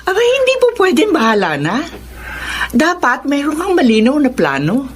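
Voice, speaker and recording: A woman's voice: high voice, huge pitch swings, moderate pace, a little hiss or hum. A woman acting a frank, probing middle-aged mother in a Filipino radio drama, with a Filipino accent. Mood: firm, chiding.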